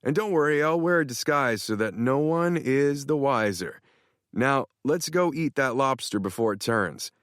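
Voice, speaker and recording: A man's voice: mid-range voice, expressive, normal speed, a bit bright, dry recording, clean studio sound, pitched above the performer's own voice, natural voice. A man voicing a boastful, eccentric older man who talks with brash self-assurance. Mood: bossy.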